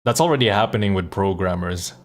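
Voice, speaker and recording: Male streamer, low voice, blunt off-the-cuff chat, loose and sweary. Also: quick pace, soft background music. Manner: blunt, profane, conversational. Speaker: live streamer and voice actor. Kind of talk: casual livestream chat and reactions.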